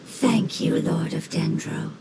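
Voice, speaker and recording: A woman voicing a solemn, loyal otherworldly spirit in an English game dub, with a breathy, whispery voice and formal phrasing. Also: deep voice, slow pace, heavy background noise, full character voice. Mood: solemn, grateful. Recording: loud game music behind the voice.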